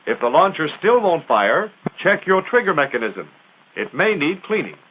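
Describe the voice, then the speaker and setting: High-pitched American man, vintage film narrator. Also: very animated, normal speed, slight background noise. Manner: punchy, emphatic, instructional. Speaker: military training film narrator. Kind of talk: 1940s military training film narration. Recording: tinny narrow-band 1940s film soundtrack.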